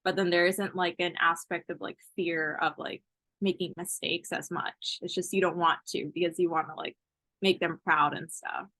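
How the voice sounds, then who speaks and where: A woman's voice: lowish voice, steady tone, moderate pace, bright, forceful tone, no room sound. A woman in her twenties, a college student from Ethiopia raised in Texas, answering interview questions casually with lots of fillers. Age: 20s.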